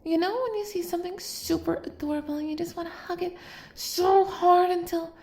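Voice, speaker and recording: A woman's voice: very high voice, normal speed, a little mellow, echoey room. A woman playing an obsessive, doting young female kidnapper in an intimate roleplay audio, speaking straight to the listener in a cutesy, gushing, coaxing way. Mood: gushing, teasing.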